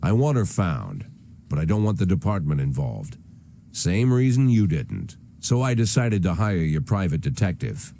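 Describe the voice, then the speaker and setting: An actor playing a sardonic, aging American police chief with a gruff, dry delivery in a video game. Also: male voice, low-pitched, very animated, normal speed, dark tone. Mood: weary, businesslike. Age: older. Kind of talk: naturalistic video game voice acting.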